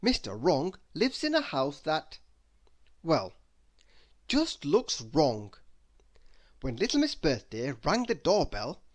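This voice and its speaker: A storybook reader narrating a children's tale aloud with expressive emphasis. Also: medium pitch, slow, long pauses.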